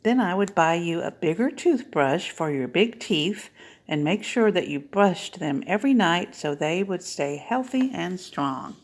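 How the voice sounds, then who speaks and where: A woman's voice: on the low side, expressive, measured pace. A woman reading a picture book as a loving mother, tenderly reassuring her child. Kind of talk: picture-book read-aloud.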